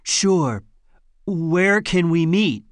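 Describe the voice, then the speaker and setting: A woman reading scripted lines and answer options for an English listening test, slow and carefully enunciated. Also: fairly low pitch, expressive, no echo, studio quality.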